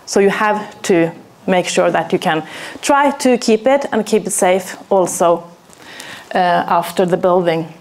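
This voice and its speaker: A Norwegian woman in her thirties gives a lecture in a small hall, picked up by a camera set back in the room. She talks slowly, with steady pauses and filler words, and turns questions to the audience as she goes. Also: mid-range voice. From Norway. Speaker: arboriculture teacher giving a talk. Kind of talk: presentation to an audience. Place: small hall with plywood walls and a projector screen. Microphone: far camera, no mic seen.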